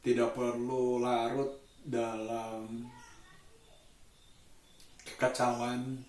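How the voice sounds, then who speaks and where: A man from Indonesia, chatting casually to camera as a solo vlogger in a halting, deliberate way with drawn-out pauses. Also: medium pitch, monotone, darkish tone. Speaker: daily vlogger and host. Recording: roomy echo, distant microphone.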